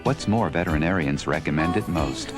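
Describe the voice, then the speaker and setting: A man's voice: extremely low pitch, a little fast, over loud music. A man reading an advertisement voice-over as a commercial announcer.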